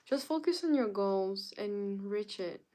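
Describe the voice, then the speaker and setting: A woman's voice: medium pitch, lively intonation, a little slow, warm tone. A young woman from Canada hosting a casual live stream, greeting viewers by name and answering their chat comments. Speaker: livestreamer.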